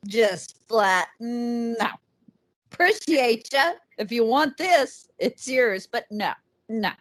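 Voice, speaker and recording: A woman's voice: on the high side, animated, slow pace, dry recording. A woman co-hosting a podcast in casual chat, dry and wry in tone. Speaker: podcast co-host.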